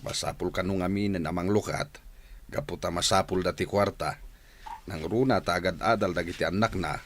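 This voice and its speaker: A man acting as a concerned middle-aged father in a radio drama, with an expressive, advising manner. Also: low-pitched, fairly quick, a little hiss or hum. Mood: thoughtful.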